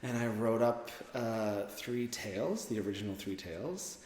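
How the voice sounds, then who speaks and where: A man chats casually in an interview. His voice is on the low side, recorded in a very echoey hall with long reverb. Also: animated, moderate pace, a little mellow. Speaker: radio drama writer and performer.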